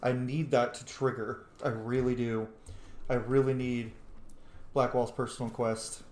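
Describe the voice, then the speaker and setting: A man's voice: mid-range voice, flat delivery, measured pace, darkish tone. A man giving casual gameplay commentary, thinking aloud and muttering to himself as he goes. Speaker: let's play host. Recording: roomy echo, faint background noise.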